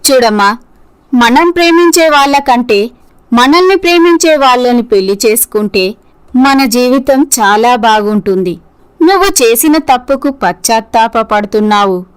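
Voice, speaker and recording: A woman plays a kind-hearted mother of middle age in a moral-story drama. Her pitch is very high, and she counsels with compassion and gentle persuasion. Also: animated, a little slow, clear, resonant tone. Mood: forgiving. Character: compassionate middle-aged mother advising the young. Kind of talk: scripted moral-story voice acting.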